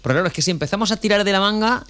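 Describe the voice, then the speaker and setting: A man's voice: high voice, very fast, fairly bright tone. A man with an accent from Spain hosting a casual radio chat, bantering informally and often checking for agreement. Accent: Spanish from Spain. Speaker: radio host.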